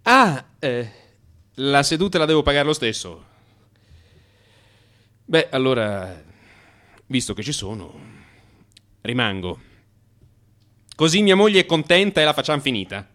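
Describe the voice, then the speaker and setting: Sleepless, anxious office worker in therapy. Radio drama monologue, agitated, very animated intonation. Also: male voice, medium pitch. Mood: nervous, evasive. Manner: agitated, halting, self-justifying. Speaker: actor.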